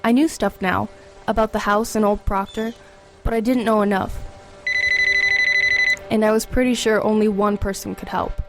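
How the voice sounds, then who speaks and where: A woman's voice: medium pitch, steady tone, moderate pace, loud music behind the voice, lightly acted. A woman playing an earnest, persistent and anxious teenage girl in an audio drama, probing and a little hesitant. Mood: subdued narrating. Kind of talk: audio drama acting and first-person narration.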